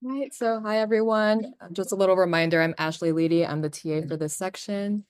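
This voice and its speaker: A woman's voice: mid-range voice, a little fast, smooth, no echo, studio quality. A young woman teaching assistant presenting to a class in a chatty way, with fillers like "um" and "like.". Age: young adult. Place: lecture classroom.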